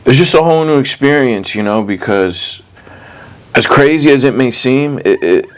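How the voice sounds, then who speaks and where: A man's voice: mid-range voice, expressive, moderate pace. A man speaking as an interview guest, telling personal stories in informal, streetwise language full of "you know.". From born in the US, Puerto Rican, moved to Puerto Rico as a child. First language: English. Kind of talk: casual personal storytelling.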